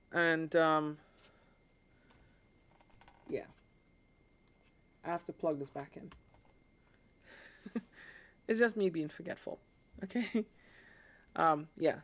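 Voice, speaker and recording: A woman from the Caribbean hosting a live stream, explaining things in an informal, conversational way and often checking in with her listeners. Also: lowish voice.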